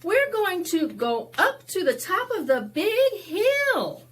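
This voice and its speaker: A woman's voice: very high-pitched, huge pitch swings, slow, a bit bright, voice pushed up, exaggerated voice. A woman voicing eager, friendly children in a picture book, exclaiming as they play. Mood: excited, urging. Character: excited, friendly neighborhood children playing in snow. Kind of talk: picture book characters read aloud.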